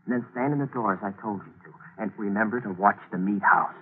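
A man's voice: lowish voice, fast talker, lightly acted. A man in a 1940s radio drama playing a scheming plotter who also narrates, clipped and commanding. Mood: cold, commanding. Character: cool, scheming male schemer plotting a cover-up. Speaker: narrator and lead character. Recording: tinny old radio broadcast with hiss.

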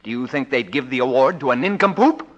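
A man's voice: mid-range voice, animated, fast, heavy background noise, close to an everyday voice. A man acting a smug, stubborn, needling small-town mayor in a 1950s American radio comedy, pompous and scornful. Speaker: radio comedy supporting actor. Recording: muffled old radio recording.

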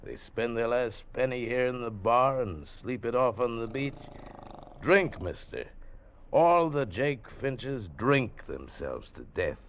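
An actor playing a world-weary island barkeeper in 1940s radio drama, colloquial and matter-of-fact. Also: male voice, mid-range voice, big pitch swings, slow. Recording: noisy, muffled vintage radio recording.

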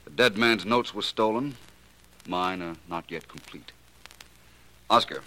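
An actor playing a suave, probing gentleman detective in a 1940s radio drama, polite and pointed in his questioning. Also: low-pitched, a little slow, slight background noise, low-quality recording. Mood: probing, wry.

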